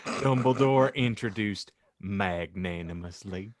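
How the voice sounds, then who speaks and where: A man's voice: lowish voice, slow, no room sound, studio quality. A man narrating a comic audiobook with mock-earnest, theatrical delivery. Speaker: podcast host and narrator.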